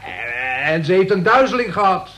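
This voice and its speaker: An actor playing a meek, dutiful son, hesitant and humming, in a Dutch radio play. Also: male voice, sits high, sing-song, normal speed, bright, forceful tone, reverberant, exaggerated voice. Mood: apologetic, hesitant. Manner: hesitant, mumbling hums. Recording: slightly noisy old recording.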